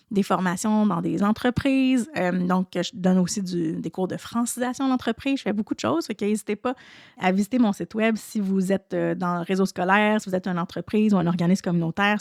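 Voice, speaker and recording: A woman's voice: mid-range voice, creaky, a little mellow, dry recording, clean studio sound. A woman with a Quebec French accent, hosting a podcast as a French teacher and talking casually to listeners with many restarts. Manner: conversational, many restarts and "tu sais" fillers. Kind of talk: casual solo podcast talk.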